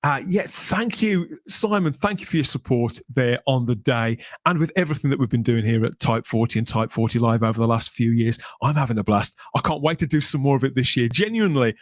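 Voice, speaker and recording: A man's voice: mid-range voice, expressive, a little fast, few pauses, no echo. A man presenting a podcast in a chatty, wordy, colloquial way, like radio patter. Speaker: podcast host.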